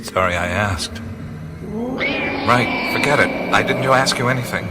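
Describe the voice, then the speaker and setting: An actor playing a curious, wry male explorer who talks to himself in hushed half-whispers, in a studio radio drama. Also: mid-range voice, huge pitch swings, a little slow. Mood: hushed, wary. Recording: studio radio play with music behind. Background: music.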